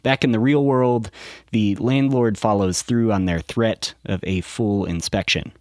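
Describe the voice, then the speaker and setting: A man's voice: lowish voice, measured pace, no room sound, studio quality. A man reading scripted documentary narration as a podcast host.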